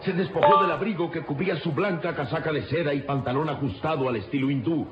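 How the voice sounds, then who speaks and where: Male radio serial narrator over loud music. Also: fairly high pitch, fast talker. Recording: dull lo-fi radio serial recording.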